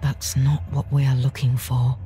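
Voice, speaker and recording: Casting: no-nonsense adventurer woman, deep, mellow, curt, fantasy game drama. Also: little pitch movement, normal speed, soft background music. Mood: wary. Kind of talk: dramatic video game cutscene acting.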